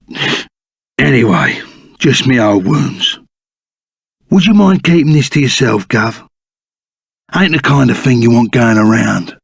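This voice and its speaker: An actor playing a loyal, excitable reformed bandit sidekick in a video game, with a Cockney accent and blunt, slangy speech. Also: male voice, medium pitch, dry recording, studio quality, heavily acted. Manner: rough colloquial slang, blunt and emphatic. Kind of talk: video game character voice acting.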